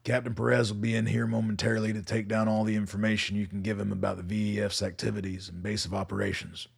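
An actor playing a weary middle-aged colonel in a radio drama, measured and commanding. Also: male voice, lowish voice, level tone, heavily acted. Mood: firm, reassuring. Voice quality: gravelly, husky. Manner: measured, authoritative.

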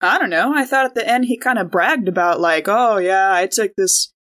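Woman chatting as a tabletop rpg player, kinda hedgy and informal, normal pitch. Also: normal speed, fairly bright tone. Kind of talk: casual in-character tabletop discussion.

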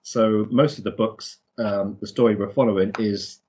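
A man's voice: on the low side, fairly even intonation, moderate pace, darkish tone, highs cut off, a little hiss or hum. A man co-hosting a podcast with a casual book recap, hesitant and often searching for words. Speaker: podcast co-host.

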